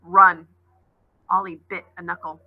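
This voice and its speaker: A woman's voice: fairly high pitch, moderate pace, slightly rough, phone-quality sound. A woman teacher reading a novel aloud expressively, adding brief asides.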